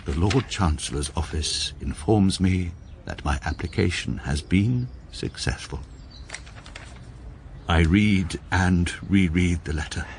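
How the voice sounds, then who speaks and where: A man's voice: low-pitched, a little hiss or hum. An English actor playing a precise, dry, middle-aged male barrister who narrates his own story in a radio drama. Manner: measured, dry and literal.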